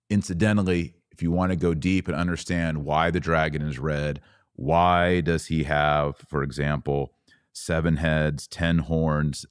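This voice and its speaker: Low-pitched guy doing a religious podcast, earnest and emphatic, really dry close sound. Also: no echo. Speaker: podcast host and author. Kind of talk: religious commentary podcast, explaining and reading scripture aloud.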